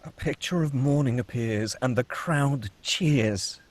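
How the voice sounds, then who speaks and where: A man narrating the stage directions at a script table read, steady and descriptive. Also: medium pitch, slow.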